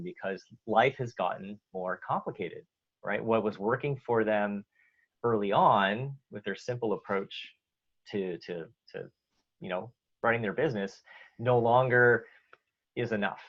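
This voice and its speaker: A man with a Canadian accent, a guest answering interview questions in a relaxed way, with many fillers and restarts. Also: on the low side. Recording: dull lo-fi audio.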